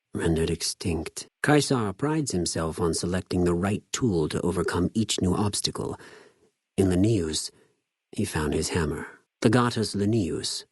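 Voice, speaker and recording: Deep-voiced, coldly menacing legion spy. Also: male voice, slightly rough, dry recording, studio quality, full character voice. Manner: formal, measured, coolly condescending. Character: cold menacing male legion spy, zealous and contemptuous. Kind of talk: scripted video game character dialogue.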